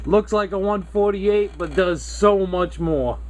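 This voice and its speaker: A man's voice: sits high, measured pace, very noisy. An American man chatting casually and courteously in radio jargon as a hobbyist on CB radio.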